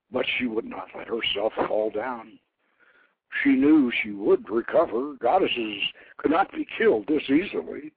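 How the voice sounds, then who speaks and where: A man's voice: fairly low pitch, husky, no echo. Phone-like male storyteller, theatrical delivery. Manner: theatrical, grandiose, deliberate phrasing. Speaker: storyteller, host reading his own novelette. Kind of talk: dramatic scripted narration of an ancient legend. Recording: very dry internet radio, narrow phone-like band.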